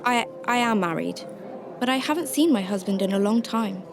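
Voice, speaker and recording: A woman's voice: medium pitch, animated, moderate pace, gravelly. A woman acting a troubled, secretive shelter worker who narrates her story in a radio drama, emotive and hesitant in dialogue. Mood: flustered. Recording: roomy sound with music behind.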